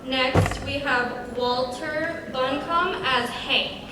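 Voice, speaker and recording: A woman's voice: sits high, very slow. A young woman introducing a cast to an audience at a live table read event. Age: young adult. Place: large echoey room, live event. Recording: noisy, very echoey hall, distant mic.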